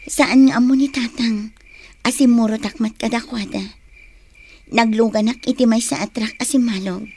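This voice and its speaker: A woman's voice: fairly high pitch, steady tone, normal speed, a little mellow, slight background noise, close to an everyday voice. A woman with an Ilocano accent playing a caring, earnest young tribeswoman in a radio drama.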